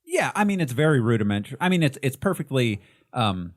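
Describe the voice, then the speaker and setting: A man with an ordinary middle-pitched voice chats casually on a movie podcast, reeling off film titles between ums and quiet laughs. The sound is dry and close. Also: very animated, fast, no room sound. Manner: rattles off lists with many ums and laughs. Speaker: podcast co-host.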